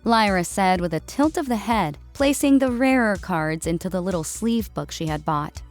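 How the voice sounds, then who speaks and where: A woman's voice: mid-range voice, lively intonation, moderate pace, soft background music. A woman reading a scripted story as its narrator.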